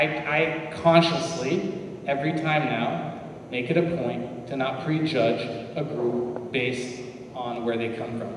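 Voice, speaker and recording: An American-born man on a forum panel, telling personal stories to an audience in a lively, direct way. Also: mid-range voice, a little hiss or hum. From United States. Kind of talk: storytelling talk to an audience. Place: large hall at a community forum. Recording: echoey hall from far off.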